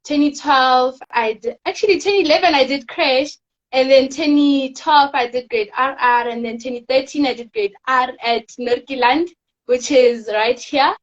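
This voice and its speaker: A teenage girl from South Africa, a guest in a casual interview, telling her story softly and rambling with fillers. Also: sits high, normal speed, dull audio. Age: teens. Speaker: guest, teen pageant winner.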